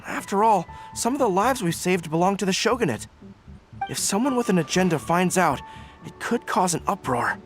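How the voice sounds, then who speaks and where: A man's voice: sits high, expressive, normal speed, soft background music. A man voicing an earnest young general in a video game, respectful and easily flustered. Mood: worried, respectful. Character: earnest young male general, deferential to his leader.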